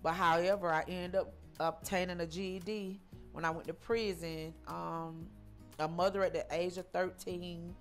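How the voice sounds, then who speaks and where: A woman in her forties tells her life story in an interview, her voice sitting on the lower side. She stops and starts, circles back and repeats herself, filling gaps with ums. Faint music plays behind her. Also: flat delivery, normal speed. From Georgia, US. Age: 40s. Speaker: interview guest.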